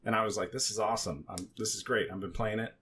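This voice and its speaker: Low-voiced man chatting over background noise. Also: fairly even intonation, quick pace. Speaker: podcast co-host. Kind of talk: casual joking podcast chat.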